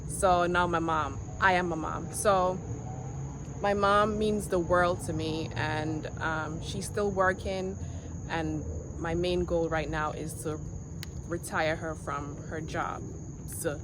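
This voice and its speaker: A woman in her forties, originally from Jamaica, vlogging to camera outdoors on a quiet street in an earnest, reflective way. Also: sits low, fairly even intonation. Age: 40s. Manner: earnest, reflective, with pauses and restarts. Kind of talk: casual vlog to camera. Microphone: handheld camera. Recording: outdoor noise with insects. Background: insects and passing vehicles.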